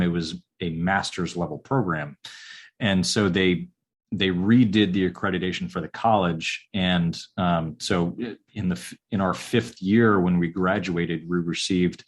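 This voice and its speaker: A man in his forties, an architect, talking about his schooling in a relaxed, halting way with many pauses and restarts. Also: sits low, husky. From upstate New York. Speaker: architect, podcast guest. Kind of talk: casual interview answers.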